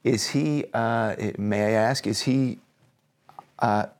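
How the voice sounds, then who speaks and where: A man with an American accent hosting an interview, asking questions in a gentle, carefully phrased way. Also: medium pitch, takes it slowly. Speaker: interview host.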